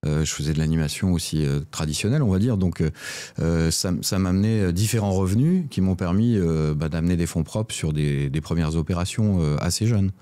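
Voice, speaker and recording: Interview guest: man, late fifties, French from Lorraine, very deep and soft-toned, conversational. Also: a little fast. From Lorraine, France. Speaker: guest, real-estate entrepreneur.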